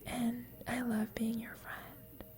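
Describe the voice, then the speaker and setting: A woman playing a teasing, affectionate young roommate with a secret crush in an ASMR roleplay, speaking softly and breathily, often in a whisper, with playful, tender gentleness. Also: a little high, level tone, slow. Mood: tender, confessional. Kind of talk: ASMR roleplay, intimate sleep-aid audio.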